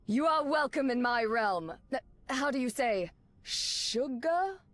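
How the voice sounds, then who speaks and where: An actress playing a regal, composed superheroine in a video game, speaking in a formal, measured manner. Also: female voice, high-pitched, lively intonation, a bit bright, no echo, exaggerated voice.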